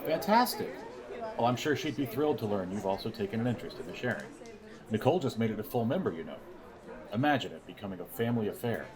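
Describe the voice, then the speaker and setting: An actor plays a middle-aged vice principal in an audio drama, genial and a bit too friendly. His slightly low voice is smooth-talking and persuasive. A busy crowd chatters behind him. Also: lively intonation, fast talker, natural voice. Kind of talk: naturalistic audio drama dialogue.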